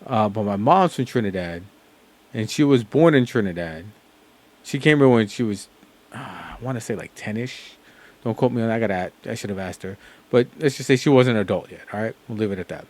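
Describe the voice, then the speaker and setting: A man's voice: fairly low pitch, expressive, fairly quick, a little mellow. A man from the United States hosting a reaction video, talking in a loose, slangy way with lots of fillers and restarts. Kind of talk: casual reaction commentary.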